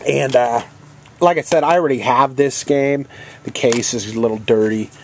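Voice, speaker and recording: Brief: congested man with a head cold, mid-pitched voice, halting casual vlog talk full of fillers. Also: a little hiss or hum. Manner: rambling, halting, many ums and uhs. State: coughing now and then. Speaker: channel host. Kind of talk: casual video game haul vlog.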